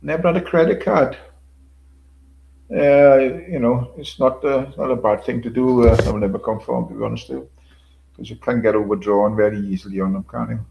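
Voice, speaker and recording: Gravelly older man rambling on a livestream. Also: mid-range voice, lively intonation, brisk, muffled recording, slight background noise. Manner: rambling, repeats himself, swears casually, chuckles. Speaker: livestream host. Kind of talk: casual solo livestream chat.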